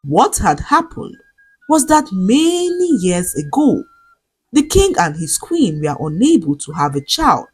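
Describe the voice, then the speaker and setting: A woman with a Nigerian accent narrating a scripted folktale in a dramatic, expressive way. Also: medium pitch, unhurried, husky, soft background music, poor audio. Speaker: storyteller.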